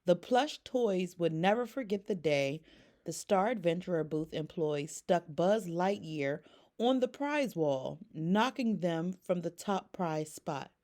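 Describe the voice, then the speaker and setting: Female children's storyteller, lowish pitch, unhurried and expressive read-aloud delivery.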